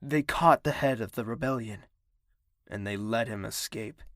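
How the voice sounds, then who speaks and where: An actor playing a bitter, menacing young prince in an audio drama, speaking in a formal, commanding, deliberate way. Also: male voice, lowish voice, no echo, studio quality, close to an everyday voice. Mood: frustrated. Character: bitter young male prince, self-declared villain, imperious and menacing.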